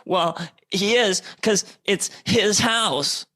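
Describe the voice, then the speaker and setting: A man's voice: high voice, very slow, pushed and bright, pitched above the performer's own voice, heavily acted. A man voicing a whiny, indignant, stammering nerdy teenage boy in a radio play. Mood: petulant.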